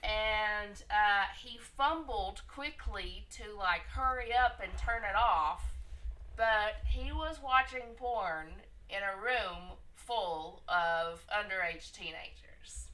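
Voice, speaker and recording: Raspy woman, far from the mic. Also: medium pitch, bright, forceful tone. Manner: slow and halting. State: raspy from illness. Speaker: guest joining the stream. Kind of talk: casual storytelling.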